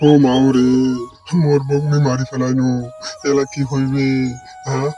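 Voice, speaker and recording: A man's voice: mid-range voice, completely flat delivery, measured pace, dark tone, faint music behind, lightly acted. A man playing a sly, quarrelsome chicken-thief fox in a village cartoon, talking in a rustic northern Bangladeshi accent. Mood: wailing regret. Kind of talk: Bengali village cartoon voice acting.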